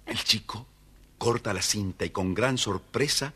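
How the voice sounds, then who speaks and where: A man narrating a children's story from a script in an expressive, dramatic storytelling manner. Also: on the low side, measured pace.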